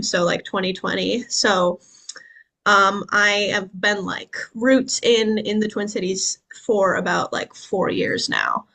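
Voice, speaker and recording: A woman's voice: mid-range voice, gravelly, bright, forceful tone, rough recording. A woman from Sweden, a podcast guest, talking casually in an upbeat way with a lot of fillers and restarts. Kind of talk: casual interview chat.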